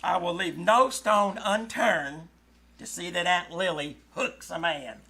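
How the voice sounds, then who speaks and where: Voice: mid-range voice, a little slow, pushed and bright, slightly rough, full character voice. A performer playing a sardonic, wisecracking teenage son in old-time radio comedy, sarcastic and mock-solemn. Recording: live stage recording with noise.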